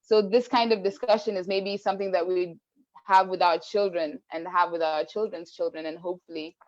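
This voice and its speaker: Woman host from Kenya, low-pitched, reflective, dull lo-fi call audio. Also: steady tone, fairly quick, darkish tone. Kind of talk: casual panel chat.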